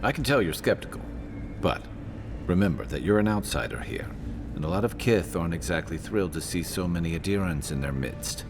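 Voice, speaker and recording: Worldly fantasy game sidekick: deep pitch, dry, conversational, understated counsel. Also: male voice, animated, brisk, music in the background. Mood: dry, advising. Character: dry, worldly male companion advising the envoy. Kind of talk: video game dialogue, conversational.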